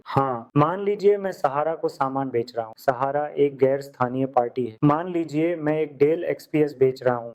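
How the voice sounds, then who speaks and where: A man giving a step-by-step software tutorial as a screen-recorded lesson. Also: medium pitch. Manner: step-by-step instructional explaining.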